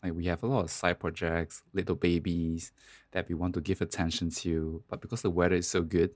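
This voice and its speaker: A man's voice: deep voice, level tone, fairly quick, dry recording. A man, co-hosting a podcast in casual, conversational talk. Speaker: podcast co-host. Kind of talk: casual chat.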